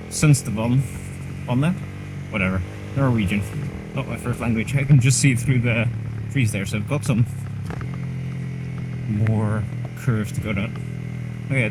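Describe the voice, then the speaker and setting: A man talks in a loose, chatty way while riding a motorcycle along a country road, teasing himself now and then. His voice sits in the middle range for a man. A helmet mic picks him up with steady engine and wind noise underneath. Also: medium pitch. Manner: chatty, rambling, self-mocking. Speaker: motorcycle vlogger. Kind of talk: casual running commentary while riding.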